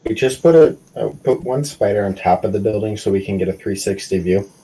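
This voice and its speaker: Deep, husky man talking fast. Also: warm tone, poor audio. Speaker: tabletop game player. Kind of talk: casual game planning chat.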